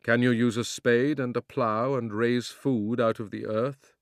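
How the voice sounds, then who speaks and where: A man reading a storybook, voicing a majestic male talking lion with grave, measured, commanding speech. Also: medium pitch, steady tone, dry recording, studio quality, lightly acted. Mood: solemn.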